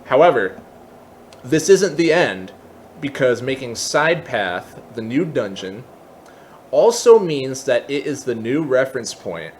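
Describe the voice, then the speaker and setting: A man presenting a software tutorial, explaining step by step in a semi-casual way with casual asides. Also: mid-range voice, a little hiss or hum.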